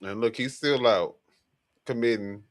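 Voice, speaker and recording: Slow-talking man with short reactions. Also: medium pitch. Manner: brief reactive interjections. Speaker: podcast co-host. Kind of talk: casual commentary on a video clip.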